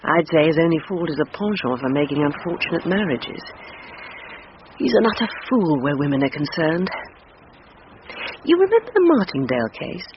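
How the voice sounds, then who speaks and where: A woman's voice: deep voice, sing-song, moderate pace, long pauses. An actress in a radio drama playing a frank, forthright woman with a blunt, wry manner. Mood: exasperated, gossipy. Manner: blunt, wry, mildly swearing. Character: frank, forthright woman gossiping about an old friend. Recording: muffled, noisy radio drama recording.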